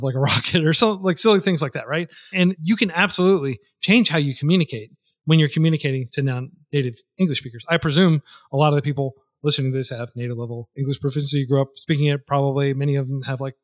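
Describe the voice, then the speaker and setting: A man with a somewhat high-pitched voice talks freely as a podcast guest. He rambles and restarts often, stringing thoughts together with fillers. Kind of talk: casual podcast conversation.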